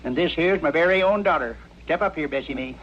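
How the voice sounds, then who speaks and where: An actor playing a scrawny old trail drifter, blustery and sly, with a rural American accent in a radio western. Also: male voice, a little high, quick pace, natural voice. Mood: folksy, ingratiating. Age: older. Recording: muffled, noisy old radio recording.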